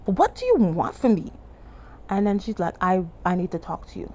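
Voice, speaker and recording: A woman from the Bahamas with a Bahamian accent, telling a church testimony in casual, animated talk and jumping high when she quotes people. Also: slight background noise. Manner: animated, acts out quoted lines in a much higher voice; restarts and trails off often. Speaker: testimony vlogger.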